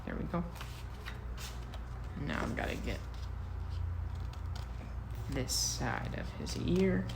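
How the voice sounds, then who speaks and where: A man's voice: on the high side, big pitch swings, slow pace, background noise. An American man, a first-grade teacher, gives a casual, playful craft demonstration for young children, talking to them as he works.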